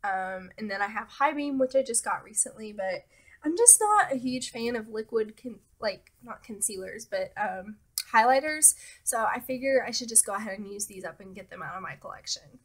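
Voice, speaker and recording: A young woman talking casually about beauty products as a vlogger, relaxed and hesitant with many fillers, her nose a little stuffy. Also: a little high, normal speed. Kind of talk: casual product talk to camera.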